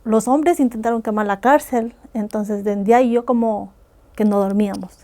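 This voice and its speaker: Mujer ecuatoriana de voz algo aguda. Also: female voice, fairly high pitch, fairly even intonation, normal speed, mellow. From Ecuador. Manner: earnest, vivid retelling of hard experiences. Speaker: guest. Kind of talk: personal testimony in a podcast interview.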